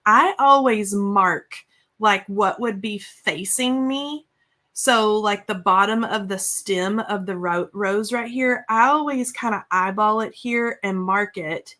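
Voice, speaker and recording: A woman's voice: medium pitch, takes it slowly, bright, forceful tone. Congested woman chatting over crafts. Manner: chatty and rambling, with many fillers and restarts. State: congested from a cold. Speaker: craft livestream host. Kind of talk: casual craft chat.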